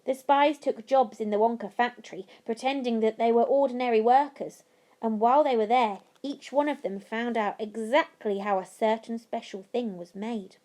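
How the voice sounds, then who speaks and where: A woman voicing a kindly old grandfather who tells a mysterious tale in a deliberate, dramatic way. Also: on the high side, a little mellow, close to an everyday voice. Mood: earnest storytelling.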